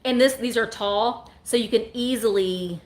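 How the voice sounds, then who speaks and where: A woman's voice: a little high, normal speed. A woman in her forties hosting a live vintage sale from home, chatting and joking as she shows items. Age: 40s. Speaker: online vintage seller.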